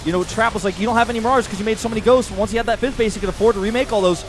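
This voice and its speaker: A young man casting a strategy game live, excitable and rising sharply when the fighting gets big. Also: high voice, rapid-fire. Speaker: esports caster. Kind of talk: play-by-play commentary. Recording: commentary over loud game music.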